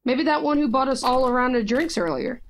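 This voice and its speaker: A woman's voice: sits high, a little fast. An actress playing a confident, blunt warrior woman in a fantasy audio drama, dry and matter-of-fact. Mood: reassuring, wry.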